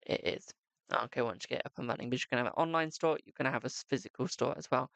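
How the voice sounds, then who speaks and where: A man's voice: medium pitch, rapid-fire, vocal fry, fairly bright tone, no room sound. A man giving a live-stream psychic tarot reading, chatting to viewers and calling them "my darling".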